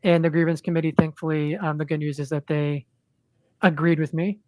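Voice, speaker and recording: A man chatting as a guest on a podcast, in a monotone, rambling way with lots of ums and restarts. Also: fairly high pitch, brisk, dry recording. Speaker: podcast guest, indie comic creator. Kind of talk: casual podcast chat.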